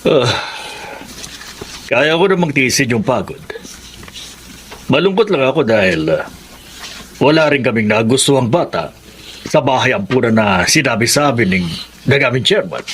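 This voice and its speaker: A middle-aged actor playing a kind, troubled husband in a radio drama, speaking earnestly with a melancholy tone. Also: male voice, mid-range voice, expressive, moderate pace, long pauses, a bit bright, slight background noise, lightly acted. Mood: sad.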